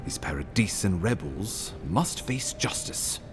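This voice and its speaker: Imperial official for a fantasy game: low, grave, authoritative, deliberate phrasing with wide inflection. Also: male voice, lively intonation, over music. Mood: grave, resolute. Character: grave, commanding imperial official briefing an envoy. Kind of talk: video game dialogue, formal and measured.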